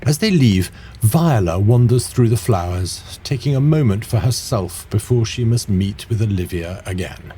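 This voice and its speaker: A man serves as the narrator of a theatrical audio drama. The voice is fairly deep and mellow, reading scripted scene descriptions. There is some noise of a soundscape behind it. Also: expressive, normal speed, natural voice. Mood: scene-setting. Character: storytelling male narrator of a play.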